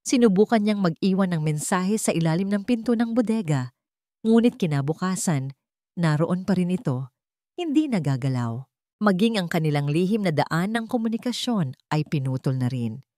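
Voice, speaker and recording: A woman narrating a scripted drama story in a measured, dramatic storytelling manner. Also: fairly low pitch, expressive, warm tone, no room sound, clean studio sound.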